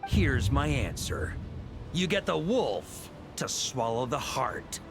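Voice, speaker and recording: A man's voice: medium pitch, very animated, slow pace. A man playing a bold, wry knight warrior in a video game, with resolute, theatrical delivery. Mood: defiant. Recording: loud music behind the voice.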